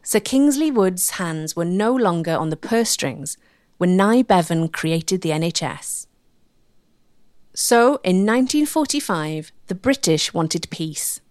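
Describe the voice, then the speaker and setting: A woman delivering scripted documentary narration in a measured, rhetorical manner with emphatic phrasing. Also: on the low side, lively intonation, darkish tone, no echo, clean studio sound.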